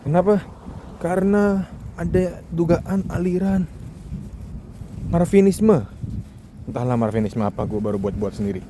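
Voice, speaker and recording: A man's voice: a little high, measured pace, long pauses, warm tone, slight background noise. A young man from Indonesia talks casually in a joking monologue vlog, mixing in English words. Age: young adult. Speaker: vlogger, international student.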